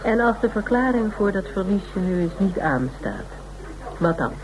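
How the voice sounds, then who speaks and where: A woman's voice: mid-range voice, big pitch swings, normal speed, close to an everyday voice. A woman playing a blunt, unflappable private detective in a radio play, direct and insistent. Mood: cool, insistent. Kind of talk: naturalistic Dutch radio play acting. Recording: radio play recording with faint noise.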